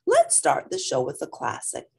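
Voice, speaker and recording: A woman's voice: a bass voice, huge pitch swings, moderate pace, vocal fry, slightly rough, full character voice. A woman voicing a friendly man announcing a dog show in a children's story, with a showy delivery. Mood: upbeat.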